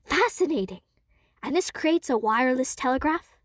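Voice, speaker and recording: A woman voicing a calm, thoughtful male ghost who speaks in a measured, grave way. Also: high voice, no echo, studio quality.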